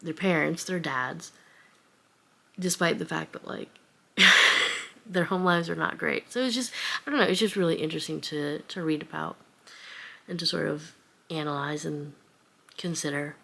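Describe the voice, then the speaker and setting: Young adult woman, low-pitched, casual unscripted talk, rambling, informal, book vlogger. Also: moderate pace, vocal fry, pauses often, pushed and bright.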